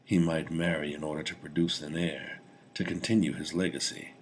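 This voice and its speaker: A man's voice: extremely low pitch, steady tone, a little fast, a little hiss or hum. A man reading his own fantasy story aloud as dramatic audiobook narration.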